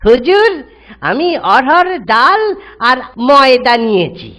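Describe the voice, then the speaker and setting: A woman's voice: high voice, sing-song, slow pace, fairly bright tone, full character voice. A woman voicing a witty, sly male village trickster in a cartoon comedy, with a cheeky manner. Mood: protesting. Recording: background music under the voice.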